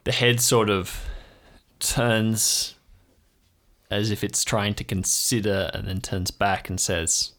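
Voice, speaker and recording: Deep gravelly male game master. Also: fairly even intonation, takes it slowly, fairly bright tone. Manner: descriptive, atmospheric. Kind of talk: improvised second-person story narration.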